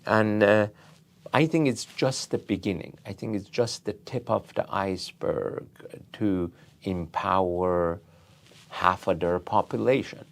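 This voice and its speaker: Man, middle-aged or older, from Iran, low voice, very slow pace, deliberate halting phrasing, conversational interview guest. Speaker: interview guest, tech company founder and CEO.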